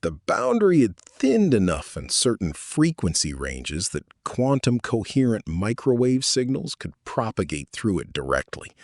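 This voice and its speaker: A man's voice: lowish voice, wildly swooping pitch, measured pace, few pauses, no room sound, clean studio sound. A man with a Canadian accent reading a first-person story as scripted audiobook narration.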